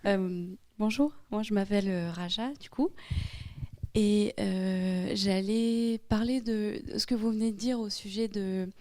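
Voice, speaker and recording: A woman's voice: mid-range voice, steady tone, measured pace, a little mellow. A young French woman speaking in a classroom debate, hesitant with many fillers. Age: young adult. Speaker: student in a debate.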